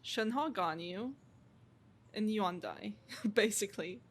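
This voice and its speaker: A woman's voice: mid-range voice, slow pace, slight background noise. A woman streamer giving casual live reactions to a game as she plays. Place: streaming setup. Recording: loud game music behind.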